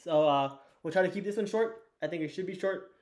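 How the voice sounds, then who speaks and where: A teenage boy with a hoarse, fading voice, teaching an investing lesson at a whiteboard in a loose, chatty way with lots of fillers and restarts. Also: sits high, brisk, darkish tone. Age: teens. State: hoarse, losing his voice. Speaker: student teacher of an investing course.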